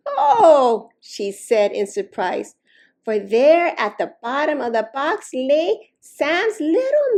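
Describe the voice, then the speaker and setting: A woman reading a children's story aloud expressively, with slow, deliberate, dramatic emphasis on key words. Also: sits high, darkish tone. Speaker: storytime reader.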